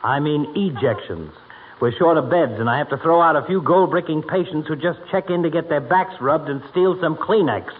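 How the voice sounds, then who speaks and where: A man playing a middle-aged doctor in 1940s radio comedy, delivering insults drily and with deliberate, needling timing. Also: fairly high pitch, lightly acted. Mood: dry. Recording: tinny, noisy vintage radio recording with clicks.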